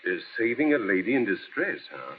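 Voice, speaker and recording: An actor playing a smooth, condescending big-city lawyer with formal, hesitant politeness in an old-time radio drama. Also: male voice, lowish voice, sing-song, a little fast. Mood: smooth, persuasive. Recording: muffled, noisy vintage radio broadcast.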